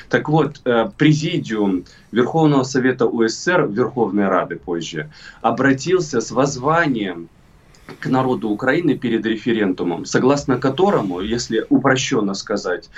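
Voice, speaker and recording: A man from Crimea, middle-aged, giving political commentary as an interview guest in a deliberate, emphatic way with hesitant pauses. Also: medium pitch, husky. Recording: muffled remote call, distant sound.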